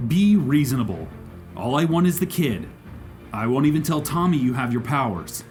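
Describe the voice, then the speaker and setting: A man's voice: mid-range voice, very animated, moderate pace, a little mellow, soft background music, lightly acted. A man playing a cold, ruthless ranger hunter who speaks sternly in a fan audio drama.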